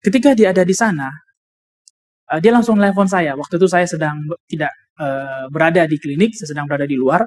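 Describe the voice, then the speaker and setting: A man with an Indonesian accent preaching a sermon in a deliberate, explanatory way, repeating key phrases for emphasis. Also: on the high side, a little mellow. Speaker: preacher, also a doctor. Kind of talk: sermon with personal anecdotes and scripture reading.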